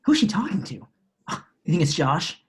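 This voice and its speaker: Goofy teen boy, read by a man; breathy, bright, lively pitch. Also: normal speed, heavily acted. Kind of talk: table read.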